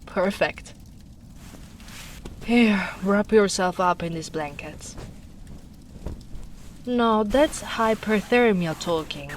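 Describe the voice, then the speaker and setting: A woman playing a big, gentle female ogre in a soft-spoken, soothing, teasing ASMR roleplay. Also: medium pitch, slow pace, pauses often, close to an everyday voice. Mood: caring, musing. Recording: noisy storm ambience behind voice.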